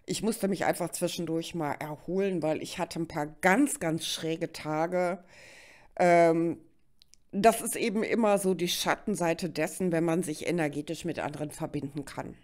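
A woman's voice: fairly low pitch, moderate pace, darkish tone. A middle-aged woman with a slight cold, chatting directly to the listener as she hosts an informal tarot reading. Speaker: tarot reader and host. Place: indoors at a card table.

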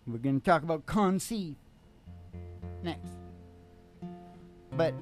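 A man's voice: fairly high pitch, lively intonation, slow pace. An older man talks over prominent acoustic guitar. He hosts with a folksy, teasing manner, rambling casually through motivational banter. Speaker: podcast host and musician. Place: small curtained stage studio. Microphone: stand-mounted vocal microphone.

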